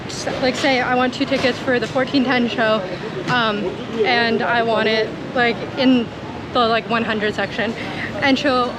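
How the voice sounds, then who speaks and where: High-voiced woman vlogging over loud street noise. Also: steady tone, a little slow. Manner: informal, thinking aloud. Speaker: travel vlogger. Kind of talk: casual travel vlog, chatting to camera. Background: traffic and outdoor city ambience.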